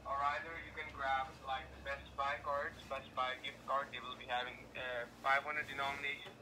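Halting male call agent on noisy speakerphone. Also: medium pitch, flat delivery. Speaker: call centre phone agent. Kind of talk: phone customer service conversation. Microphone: phone speaker picked up by room camera. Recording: noisy phone call played over speakerphone.

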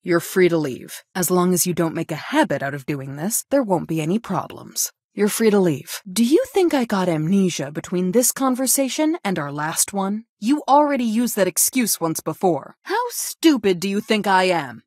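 Game role: stern female guidance counselor, measured and reproachful, slightly low register, dry studio sound. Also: sing-song, a little mellow, dry recording. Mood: relieved, cautious, then exasperated. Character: stern female school guidance counselor, adult, strict and disappointed. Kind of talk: video game voice acting, scolding lines.

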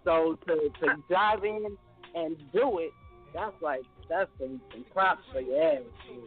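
A man's voice: on the high side, unhurried, faint music behind. A man co-hosting a casual radio show, talking bluntly and profanely in ranting bursts. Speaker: radio co-host. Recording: tinny phone-line sound.

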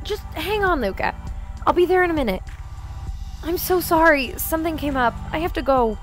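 A woman's voice: high-pitched, lively intonation, moderate pace, faint music behind. A woman voicing a cheerful, easily flustered teenage girl heroine in a comic dub. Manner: bubbly, flustered.

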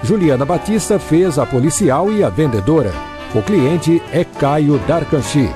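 A man's voice: a little high, expressive. Formal male radio announcer over music. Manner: formal, measured. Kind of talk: reading radio credits.

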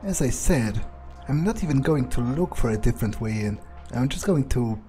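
A man's voice: mid-range voice, lively intonation, moderate pace, mellow. A man giving in-character video game commentary, musing aloud with a defiant manner and casual swearing. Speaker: gaming video creator playing in character. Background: faint game music.

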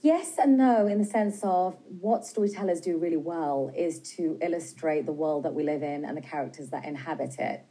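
A woman's voice: low-pitched, warm tone, breathy edge. A woman in her forties with a British accent, a film director answering interview questions earnestly in a TV news studio, speaking with a lapel mic. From Britain. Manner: earnest, measured, some hesitations.

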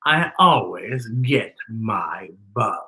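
A man voicing a sly, boastful spider in a storybook, grandiose, arch and mock-polite with drawn-out words. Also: lowish voice, animated, sharp tone, roomy sound, pitched below the performer's own voice, heavily acted. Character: sly, boastful, theatrical predatory spider trickster. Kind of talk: storybook character reading.

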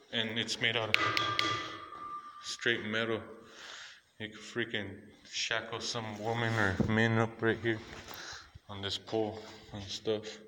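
Rambling man touring a dark ruin. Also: fairly low pitch, slight background noise, poor audio. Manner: rambling, repetitive pointing out spots. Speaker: urban explorer vlogger. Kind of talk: casual walkthrough narration. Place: dark abandoned building, indoors. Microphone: handheld phone camera.

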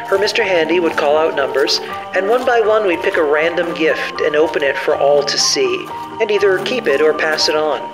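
A man's voice: fairly high pitch, close to an everyday voice, low-quality recording. A man playing a put-upon young survivor narrating his journal in a scripted audio drama.

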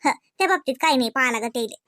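A woman performs a married village daughter in a comedy cartoon, with a very high voice. She rambles on in homely, colloquial speech with a Varhadi Marathi accent. The sound is close and dry. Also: animated, very fast, fairly bright tone, no room sound. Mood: chatty, easygoing. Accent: Varhadi Marathi, Vidarbha. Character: chatty married village daughter visiting her family. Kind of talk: rural comedy cartoon dialogue.

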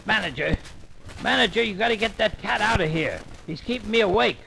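Brief: fussy bumbling cartoon man, high and creaky. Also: animated, background noise. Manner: fussy, polite, quickly exasperated. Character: mild bumbling grown man, easily flustered. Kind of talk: children's story record acting.

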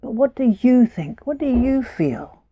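A woman's voice: very animated, unhurried, no echo, muffled recording. A woman voicing a sweet, eager little female mouse bride in a told folk tale. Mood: tender. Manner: high, eager, bright-eyed.

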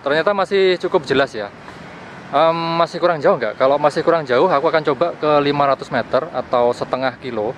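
A man's voice: fairly high pitch, fairly quick. A young man vlogger casually explaining a field test as he walks, repeating himself, recorded outdoors at arm's length. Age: young adult. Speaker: vlogger reviewing gadgets. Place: outdoors in open plazas, park and street. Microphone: camera at arm's length. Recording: noisy outdoor street and park ambience.

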